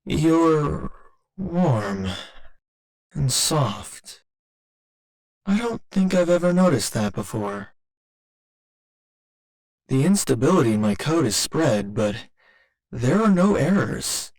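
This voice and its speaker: A man voicing a gentle robot boyfriend in an ASMR roleplay, with formal, clinical phrasing delivered tenderly and a little hesitantly. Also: on the high side, sing-song, takes it slowly, pauses often, full character voice, poor audio. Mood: confused, tender. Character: gentle male robot boyfriend learning to feel love.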